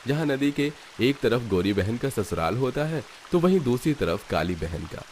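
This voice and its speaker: A man's voice: medium pitch, sing-song, normal speed, darkish tone, a little hiss or hum. A man reading scripted narration for a cartoon family story as its narrator.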